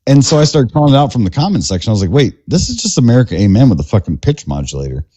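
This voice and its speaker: A man's voice: medium pitch, big pitch swings, racing, dark tone, slightly rough, muffled recording. A man on a live-stream debate panel, talking crude and taunting with lots of profanity.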